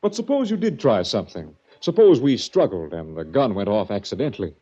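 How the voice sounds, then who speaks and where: An actor playing a smug, menacing villain with mocking courtesy in a 1940s radio mystery. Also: male voice, on the low side, very animated, fairly quick, mellow. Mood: smugly menacing. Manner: mockingly courteous, taunting, articulate. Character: smooth, smug, menacing villain framing his captive. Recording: dull vintage 1940s radio recording.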